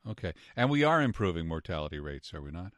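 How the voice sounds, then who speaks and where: A man interviews a guest on the radio in a low voice. His delivery is highly animated, swinging widely in pitch, and the sound is close and dry. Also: fast, dry recording.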